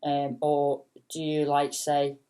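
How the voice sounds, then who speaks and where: A woman with a notably low, soft voice talks in a loose, meandering way. She fills her sentences with "um" and "you know" and drops in a casual swear word now and then. It sounds like an unscripted late-night vlog. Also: completely flat delivery, slow. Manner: chatty, full of fillers and casual swearing. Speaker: singer and vlogger. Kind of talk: rambling late-night chat.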